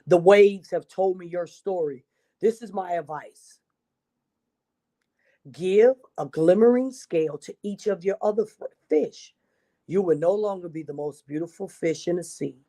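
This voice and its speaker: A woman voicing a wise, calm female storybook octopus, solemnly giving advice in a deep voice. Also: unhurried. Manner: solemn, advising.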